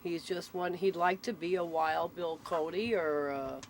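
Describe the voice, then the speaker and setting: A woman's voice: on the low side, fairly even intonation, a little slow. A woman explaining things in a casual, conversational way, with firm opinions of her own. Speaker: interviewee explaining local nicknames. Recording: noisy ambient recording with vehicle sounds.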